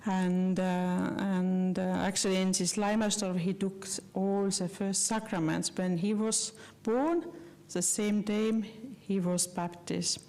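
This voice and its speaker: Slow, mellow-voiced woman lecturing in non-native English. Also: mid-range voice, steady tone. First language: not English. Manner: halting, many fillers and restarts; earnest, personal. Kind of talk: slide lecture.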